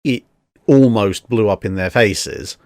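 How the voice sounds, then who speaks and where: A man's voice: lowish voice, slow pace, darkish tone, no room sound. A man chatting casually and analytically, rambling a little as he thinks aloud. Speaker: discussion guest.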